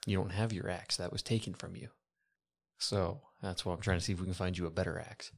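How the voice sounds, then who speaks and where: Man, deep voice, casual in-character tabletop chat, player in a fantasy game. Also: steady tone, brisk, vocal fry.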